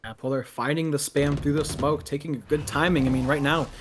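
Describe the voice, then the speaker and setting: A man giving live play-by-play commentary on a video game match, excitable on big plays and analytical between them. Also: fairly high pitch, brisk, background noise.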